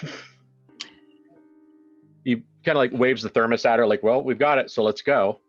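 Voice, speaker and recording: A man improvising narration for a tabletop role-playing game in a halting way, often repeating words as he searches for the next one. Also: mid-range voice, fairly even intonation, lo-fi sound. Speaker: tabletop role-player narrating his character. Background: background music.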